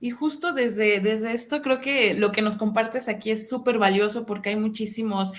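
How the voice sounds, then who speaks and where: A woman's voice: fairly high pitch, steady tone, moderate pace. A Mexican woman hosting a live interview, talking in an engaged way and often checking in with tag questions. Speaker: host.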